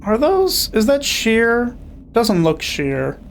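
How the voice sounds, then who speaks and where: A man's voice: extremely high pitch, huge pitch swings, takes it slowly, gravelly, a little hiss or hum. Chatty sarcastic young man streaming. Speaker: streamer playing a video game. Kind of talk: casual gameplay commentary.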